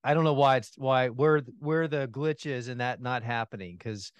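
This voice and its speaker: A man as a podcast guest, talking about gardening with enthusiasm and animated energy, laughing now and then. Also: medium pitch, normal speed, smooth, no room sound, muffled recording. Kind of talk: casual interview chat. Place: home in Atlanta, remote call.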